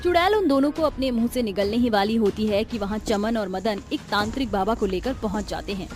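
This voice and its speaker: A woman's voice: mid-range voice, a little fast, a little mellow, faint music behind. A woman giving scripted storybook narration as the narrator of a tale.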